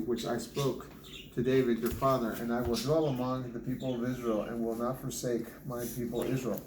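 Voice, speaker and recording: A man reading scripture aloud as a Bible reader, sometimes stumbling and rereading words. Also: mid-range voice. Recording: noisy, echoey home recording with clicks.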